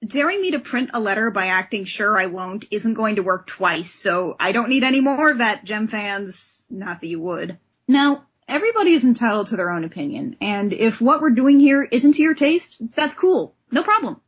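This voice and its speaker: A woman podcast co-host with a fairly high voice that has a husky edge. She talks about comics expressively and gets theatrical when reading aloud. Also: expressive, normal speed. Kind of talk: comic discussion podcast with dramatic reading of letters.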